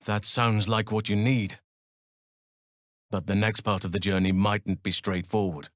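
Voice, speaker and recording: A man playing a low-voiced gentle elf druid. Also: flat delivery, dry recording, clean studio sound, natural voice. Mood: earnest. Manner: measured, earnest, formal phrasing. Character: gentle, solemn male elf druid, big and protective. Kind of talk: fantasy video game character dialogue.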